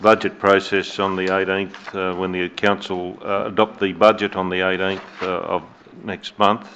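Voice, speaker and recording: Deep-voiced Australian mayor chairing. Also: male voice, little pitch movement, a bit bright, lo-fi sound, a little hiss or hum. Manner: measured, hesitant with many fillers. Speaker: mayor chairing a council meeting. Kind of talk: formal meeting chairing. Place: council chamber.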